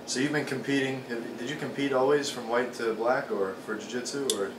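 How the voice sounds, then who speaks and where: A man interviewing a fighter, asking casual questions with quick upbeat reactions. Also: mid-range voice, steady tone. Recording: echoey room with background hum.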